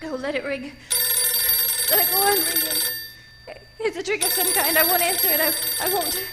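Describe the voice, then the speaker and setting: An actress playing a nervous, demanding, self-pitying bedridden wife in a radio thriller, with an imperious, complaining, overwrought delivery. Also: female voice, very high voice, moderate pace, heavy background noise. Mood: fearful, defiant. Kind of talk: radio thriller play acting.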